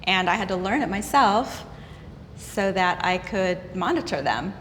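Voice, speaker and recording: A woman in her fifties chatting on a talk show panel, telling stories in a lively way and often restarting her sentences. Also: mid-range voice, measured pace. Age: 50s. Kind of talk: candid panel chat. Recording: reverberant room with faint noise.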